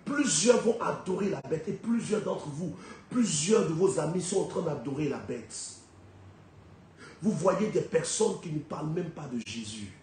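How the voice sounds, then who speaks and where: High-voiced preacher with a cold in an echoey hall. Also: male voice, expressive, a little slow. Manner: emphatic, rhetorical questions, big swings in pitch and loudness. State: has a head cold. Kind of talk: sermon. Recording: very echoey hall, faint background noise.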